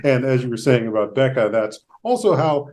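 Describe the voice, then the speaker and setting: Mid-pitched man hosting a podcast interview. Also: brisk, darkish tone, slight background noise. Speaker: podcast host and interviewer, therapist.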